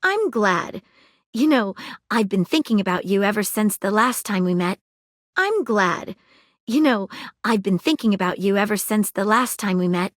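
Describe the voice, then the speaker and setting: A woman voicing an eager, polite female magnifying-glass detective in a video game, crisp and precise, often apologetic. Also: mid-range voice, animated, normal speed, no room sound, studio quality, natural voice. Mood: earnest. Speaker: detective character. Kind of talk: video game character dialogue.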